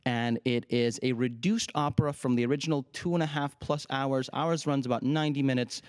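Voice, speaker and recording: A man's voice: medium pitch, fairly even intonation, fairly quick, clear, resonant tone, no room sound, studio quality. A man from Ukraine, a native Russian speaker, talking enthusiastically as an opera company's artistic director in a TV interview. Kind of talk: TV interview chat.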